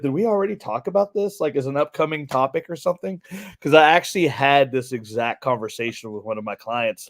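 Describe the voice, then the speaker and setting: A man speaks as a podcast co-host in a voice of middling pitch. His delivery is conversational and rambling, looping through what he said to people as he tells it. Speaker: podcast co-host, fitness coach. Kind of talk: casual conversational storytelling.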